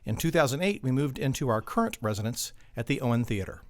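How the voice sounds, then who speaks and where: A man's voice: mid-range voice, sing-song, fast, no echo. A man reading scripted promotional narration as an announcer for a community theater.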